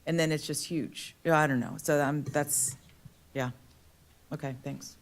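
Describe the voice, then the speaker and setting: Low-voiced woman questioning, rambling. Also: steady tone, a little slow. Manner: rambling, thinking aloud with fillers. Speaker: board member. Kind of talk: questioning at a public hearing. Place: county board meeting room.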